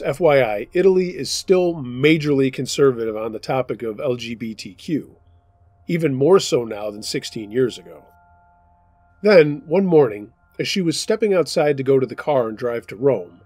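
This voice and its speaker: Male storyteller host, middle-pitched voice, dry close sound, calm measured reading. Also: no echo. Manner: steady, measured first-person narration. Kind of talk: reading submitted true scary stories aloud.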